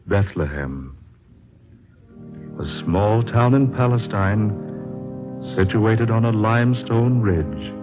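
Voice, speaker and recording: A low-voiced, earnest traveling storyteller. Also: male voice, animated. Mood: reflective. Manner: earnest, theatrical, measured declamation. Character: earnest, awed male traveler and storyteller, weary pilgrim. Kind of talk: 1940s American radio drama acting. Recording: muffled vintage radio recording with music.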